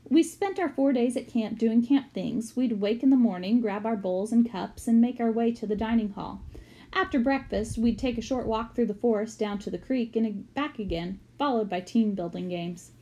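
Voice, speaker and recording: A woman's voice: mid-range voice, mellow. Female reader for first-person book narration, mid-range voice, scripted read-aloud.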